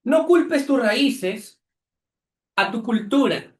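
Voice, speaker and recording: A man from Peru, preaching an earnest sermon as pastor with deliberate pauses. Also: very high-pitched, expressive. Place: church. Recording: echoey church hall.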